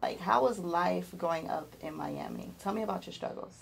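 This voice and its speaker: A young woman interviewing a rapper with a handheld mic in a studio room, asking short measured questions. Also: on the low side, creaky, slight background noise.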